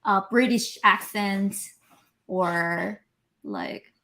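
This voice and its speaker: Bright-voiced woman in her thirties. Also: mid-range voice, drawn-out words. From South Korea. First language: Korean. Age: 30s. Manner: giggly, hesitant, searches for words. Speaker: guest. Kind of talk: casual interview chat.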